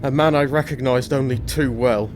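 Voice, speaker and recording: An actor playing an earnest, protective young English gentleman, formal and sombre, in an audio drama. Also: medium pitch, steady tone, moderate pace, slight background noise, close to an everyday voice. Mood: ominous narration. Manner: formal, old-fashioned, sombre. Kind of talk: theatrical audio drama acting with narration.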